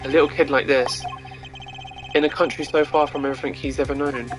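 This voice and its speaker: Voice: mid-range voice, steady tone, fairly quick, soft background music, lightly acted. A streamer voicing an earnest, hesitant young male defense attorney in a video game, wry and unsure. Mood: reflective. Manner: unsure, wry, narrating thoughts. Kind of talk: amateur voice acting of a visual novel game.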